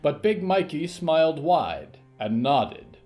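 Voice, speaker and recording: Male storyteller for audio fiction: slow delivery, mid pitch, faint music bed. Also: sing-song, a little mellow. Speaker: narrator. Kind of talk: scripted story narration.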